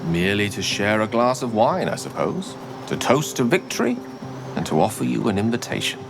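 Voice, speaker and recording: Suave, deep-voiced courtly wizard. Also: male voice, big pitch swings, fairly quick, vocal fry, over music. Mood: softly flirtatious. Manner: courtly, wry, eloquent. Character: suave, eloquent male wizard companion, courtly and intimate. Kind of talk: video game dialogue.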